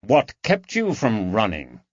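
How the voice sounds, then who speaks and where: A man's voice: on the low side, huge pitch swings, slow pace, no room sound, dull audio, exaggerated voice. Man as a dry, sardonic, taunting detective. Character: shrewd, sardonic male detective, coldly mocking. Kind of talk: audiobook character dialogue.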